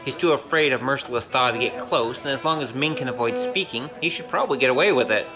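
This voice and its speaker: A woman's voice: a bass voice, expressive, fast talker, lo-fi sound, over music. Woman playing a wry young male trickster. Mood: pleased, sly. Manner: wry, casual, scheming. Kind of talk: audio drama acting.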